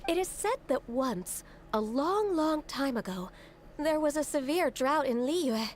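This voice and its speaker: A woman voicing a gentle, earnest, dutiful young woman in a video game, speaking politely and with care. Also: high-pitched, expressive, slight background noise. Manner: polite, measured, careful.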